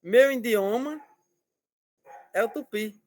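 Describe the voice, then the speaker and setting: A male language teacher explaining slowly and patiently over a video call, repeating words and checking that students follow. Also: very high-pitched, a little mellow, slight background noise. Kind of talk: online class explanation.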